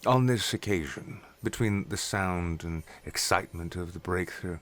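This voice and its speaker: A man's voice: deep voice, moderate pace, fairly bright tone. A man narrating a scripted story in the third person.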